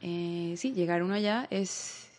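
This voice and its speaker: A woman from Bogotá with a Colombian accent, speaking as an interview guest in a reflective, searching way, often pausing on filler words. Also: fairly low pitch, darkish tone. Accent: Bogotá Colombian Spanish.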